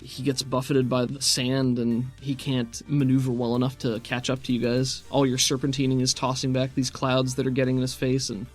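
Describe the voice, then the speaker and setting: A man's voice: medium pitch, completely flat delivery, moderate pace, few pauses. A man running a tabletop game as game master, switching between descriptive narration and casual rules talk, encouraging his players. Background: background music.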